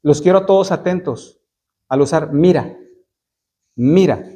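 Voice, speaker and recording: A man with a fairly high voice preaches a sermon. He reads scripture slowly and breaks it down in plain speech. His delivery is halting, full of repeated words and restarts. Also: mellow, slightly rough. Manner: reads verses slowly, then explains in plain talk. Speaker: Baptist preacher.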